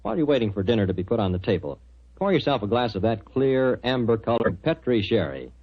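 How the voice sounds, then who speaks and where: Animated American 1940s radio announcer. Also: male voice, on the low side, fast, breathy edge. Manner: chummy, emphatic salesmanship. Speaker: radio announcer and sponsor's pitchman. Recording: muffled 1940s radio broadcast.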